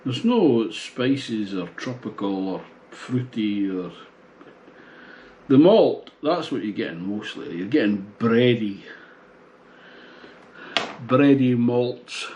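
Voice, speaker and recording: A man in his sixties casually reviewing a beer at home, thinking aloud in a relaxed, musing way with pauses. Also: medium pitch, slight background noise, rough recording. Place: small home bar room, indoors.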